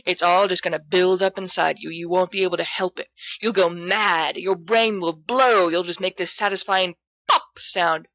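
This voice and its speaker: A woman's voice: fairly low pitch, fairly even intonation, normal speed, fairly bright tone, no room sound, low-quality recording. A woman voicing a brash, teasing teenage boy in a theatrical, mocking way, as in an audiobook. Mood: gleeful mockery. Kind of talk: expressive audiobook character dialogue.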